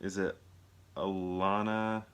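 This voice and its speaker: A man's voice: low-pitched, flat delivery, takes it slowly, smooth. A man chatting casually and off the cuff to viewers as a movie reviewer, rambling and thinking aloud as he questions himself. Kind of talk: casual impromptu chat to viewers.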